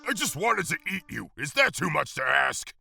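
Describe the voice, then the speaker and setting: A gravelly, husky giant mantis monster, played by a man, prowling with hungry menace. The voice is very bright and strained, swinging up and down in pitch. Recorded dry and close. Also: very animated, normal speed, soft background music, heavily acted. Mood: indignant. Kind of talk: audio drama acting.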